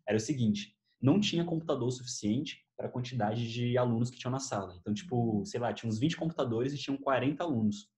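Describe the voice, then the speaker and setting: Fast-talking young Brazilian man. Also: mid-range voice. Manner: animated, slangy storytelling. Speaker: host. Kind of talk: casual chat, telling memories.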